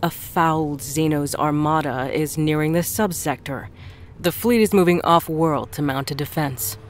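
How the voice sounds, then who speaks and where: A woman acting in an audio drama as a stern, devout church official, speaking in a solemn, deliberate, imperious manner. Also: low voice, slight background noise. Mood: grave, zealous. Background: faint music.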